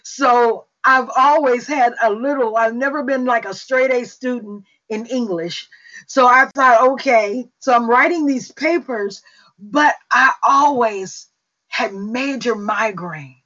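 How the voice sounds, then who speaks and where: Middle-aged Southern woman, Mississippi accent, slow testimony pace, slightly high voice, muffled call audio. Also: a bit bright. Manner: slow, unhurried storytelling with fillers. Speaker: prayer call host.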